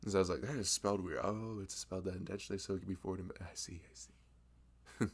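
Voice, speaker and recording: A man playing a chatty, gravelly-voiced florist. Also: sits low, steady tone, quick pace, full character voice. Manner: rambling, self-deprecating, laughs often. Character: chatty nerdy young male florist, friendly. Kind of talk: ASMR roleplay.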